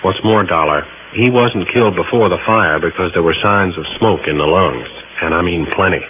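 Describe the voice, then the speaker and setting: A man's voice: low-pitched, normal speed, close to an everyday voice. A man playing a thorough, skeptical fire investigator in a 1950s radio drama, plain-spoken, folksy and assured. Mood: skeptical, firm. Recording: muffled, noisy old radio broadcast.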